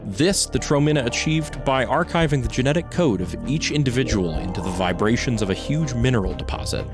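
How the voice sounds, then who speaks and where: A man's voice: medium pitch, lively intonation, fairly quick. A man reading scripted, documentary-style narration as the narrator of an audio piece. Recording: music bed under narration.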